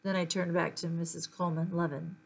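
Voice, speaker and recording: A woman reading a children's book aloud as an expressive narrator. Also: on the low side, fairly quick, vocal fry. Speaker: story reader.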